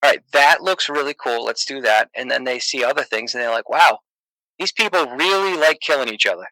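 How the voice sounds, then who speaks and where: A man chats loosely on a podcast call, joking and laughing between thoughts and dropping plenty of fillers. His voice is bright and forward. The sound is dry and close to the mic. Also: medium pitch, a little fast, no echo, low-quality recording. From Massachusetts, USA. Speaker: podcast guest. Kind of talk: casual chat.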